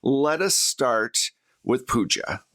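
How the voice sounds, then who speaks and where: A man's voice: mid-range voice, animated, slow, a bit bright. A man hosting and narrating a tabletop role-playing game in an informal, conversational way as game master.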